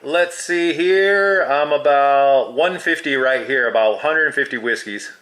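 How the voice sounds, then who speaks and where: A man's voice: mid-range voice, unhurried, a bit bright, slight background noise, low-quality recording. A man who is a hobby radio operator, chatting casually with radio jargon.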